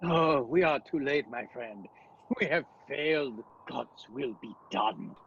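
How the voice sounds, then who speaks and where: An actor playing a grave, urgent older doctor and vampire hunter in a radio play, speaking with dramatic emphasis. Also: male voice, medium pitch, slow, highs cut off, a little hiss or hum. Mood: grave, sorrowful.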